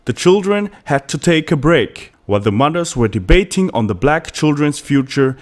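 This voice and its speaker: Man narrating with very animated pitch. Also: normal speed. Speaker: documentary narrator. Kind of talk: scripted narration.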